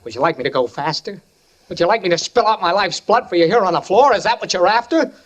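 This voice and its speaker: A man's voice: sits high, animated, fast talker, muffled recording. An actor playing a touchy, paranoid young handyman in a 1940s radio suspense drama, prickly and accusing. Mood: agitated, indignant. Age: young adult.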